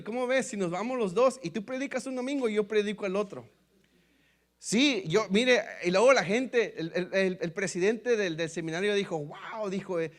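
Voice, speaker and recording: A Mexican man with a Mexican Spanish accent, a pastor telling his testimony in a sermon, often calling his listeners brothers and acting out the dialogue. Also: high voice. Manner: storytelling, repeatedly addressing listeners as brothers; lively, acts out quoted dialogue.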